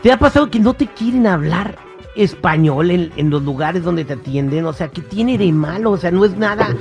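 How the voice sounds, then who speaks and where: A man's voice: sits high, lively intonation, normal speed, darkish tone, soft background music. A man hosting a call-in radio show, joking with callers and laughing often.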